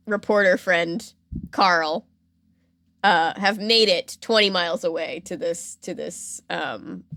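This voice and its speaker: A woman podcast host telling a story in a casual, animated and jokey way. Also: medium pitch, no echo. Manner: animated, jokey, acts out quotes.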